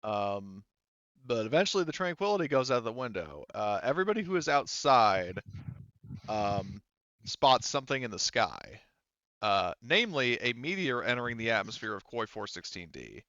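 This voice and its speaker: A man running a tabletop game as game master, narrating in a hesitant, measured way. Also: medium pitch, big pitch swings, bright, forceful tone, no echo, dull audio.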